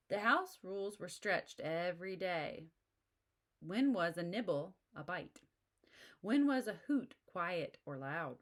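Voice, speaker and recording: Friendly woman, slightly low voice, reading a picture book aloud with asides for kids. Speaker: children's book reader.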